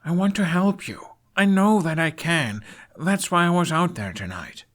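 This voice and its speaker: A man's voice: fairly high pitch, expressive, normal speed, fairly bright tone, voice pushed up. A man voicing an anxious, earnest young son who pleads and stammers, in an audiobook-style story reading. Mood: apologetic, earnest.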